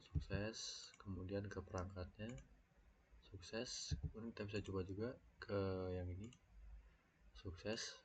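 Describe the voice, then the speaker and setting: A young Indonesian man with a cold, talking through a screen-recorded software tutorial in a halting, thinking-aloud way, stopping for fillers while he types. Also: low voice. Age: young adult. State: sick with a cold. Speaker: student. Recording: dull lo-fi mic, faint background noise.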